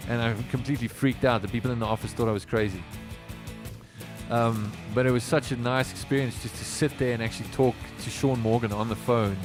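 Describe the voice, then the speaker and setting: A man's voice: medium pitch, steady tone, brisk, music in the background. A South African man, native Afrikaans speaker, a musician telling stories on a casual livestream in a rambling way with lots of fillers. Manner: rambling, with many fillers and restarts.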